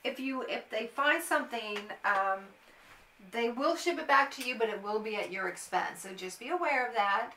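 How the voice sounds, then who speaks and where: Casting: middle-aged American woman, informal vlog-style advice giver, bright crisp-edged tone, recorded slightly off-mic. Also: fairly high pitch, lively intonation, moderate pace, a little hiss or hum. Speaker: airport agent and vlogger. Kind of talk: casual advice to camera. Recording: roomy, echoey, distant mic.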